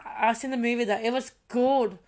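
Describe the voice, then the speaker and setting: A woman's voice: a little high, steady tone, normal speed, darkish tone. A woman co-hosting a podcast in casual chat, informal and blunt, often repeating her phrases. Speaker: podcast co-host.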